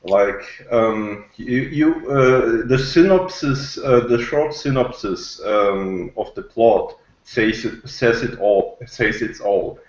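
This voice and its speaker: A man giving his opinion as a panelist in a casual review chat, halting and repeating himself as he searches for words. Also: medium pitch. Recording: echoey, dull online call audio.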